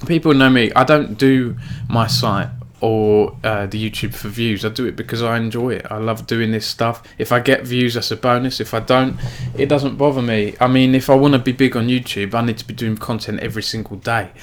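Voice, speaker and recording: A man hosting a casual weekly esports news roundup, speaking in a flat, self-described monotone and peppering his talk with "um" and "uh". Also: medium pitch, brisk, hardly any pauses, slight background noise. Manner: self-described monotone, filler-heavy delivery. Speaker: esports news editor and host.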